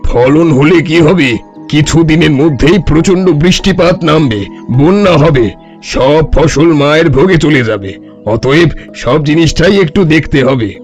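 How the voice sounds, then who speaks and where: A man's voice: fairly high pitch, a little slow, a little mellow. A man playing a sly, fraudulent village holy man in a cartoon, pompous and scheming. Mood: ominous, pompous. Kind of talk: cartoon story dialogue. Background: music.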